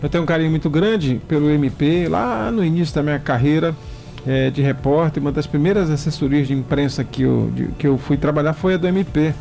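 A man hosts a relaxed talk show, warmly chatty and prone to reminiscing with restarts. His voice sits a touch higher than most men's. Faint music runs quietly behind him. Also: fairly quick, vocal fry. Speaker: radio or talk show host. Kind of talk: casual interview chat.

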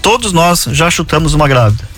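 Lively, joking middle-aged male host. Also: a little high, a little fast, faint music behind. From southern Brazil. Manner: jokey, teasing, reads trivia aloud. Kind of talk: casual chat show banter.

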